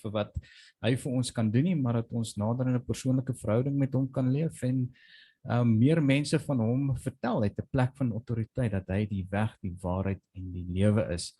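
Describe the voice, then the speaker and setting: A man hosting an event who introduces a guest speaker, talking hesitantly with many filler pauses. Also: mid-range voice, warm tone, breathy edge. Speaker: host.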